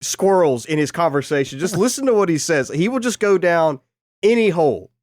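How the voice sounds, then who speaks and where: A hoarse man hosts a casual sports podcast, joking about himself as he goes. His voice sits a little higher than most men's, and the recording is close and dry. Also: very animated, brisk, darkish tone, dry recording. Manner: joking, self-deprecating. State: hoarse from a cold. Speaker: podcast host. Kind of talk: casual chat.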